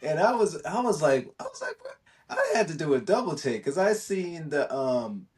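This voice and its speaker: A man's voice: on the high side, wildly swooping pitch, normal speed, darkish tone, recorded from a distance. A man from Jamaica chatting casually and telling stories in a slangy way, often repeating his words and phrases. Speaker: podcast speaker. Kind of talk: casual chat, storytelling.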